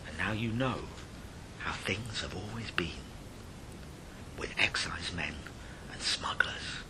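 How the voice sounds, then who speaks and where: A man telling a ghost story in verse with theatrical delivery, moving between hushed and emphatic phrases with dramatic pauses. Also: deep voice, noisy background.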